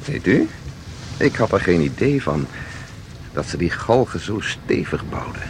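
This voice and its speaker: Low, animated man, acted as knowing guide. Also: slight background noise. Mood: absorbed, explaining. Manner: measured, formal, explanatory. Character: composed, knowing male guide through eerie painted worlds. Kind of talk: Dutch audio drama acting.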